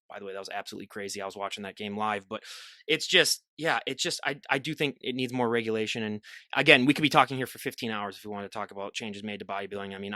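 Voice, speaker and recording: Man, podcast host, fast chatty rambling, self-deprecating, higher voice than expected. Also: pushed and bright, dry recording. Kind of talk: casual podcast interview.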